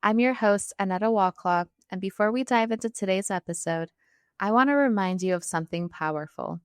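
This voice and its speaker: A woman's voice: mid-range voice, a little fast, clean tone, no room sound, clean studio sound. A woman hosting a podcast with an upbeat, affirming delivery. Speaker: podcast host. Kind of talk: podcast hosting and scripted promo.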